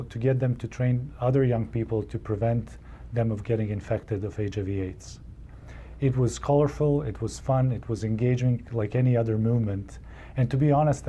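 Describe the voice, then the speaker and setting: A man from Serbia with a Serbian accent, telling a personal story in earnest, halting English with frequent restarts. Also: mid-range voice, warm tone. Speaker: public health colleague telling his story. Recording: faint office noise.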